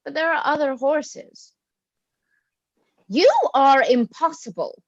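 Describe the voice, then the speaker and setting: Muffled call audio, woman acting glum young wife in old play, slow n deliberate. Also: high-pitched, dry recording. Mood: pleading, irritated. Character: unhappy, dour young married daughter. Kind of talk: cold read of a classic stage play. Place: at home on a video call. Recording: dull, lo-fi video-call audio.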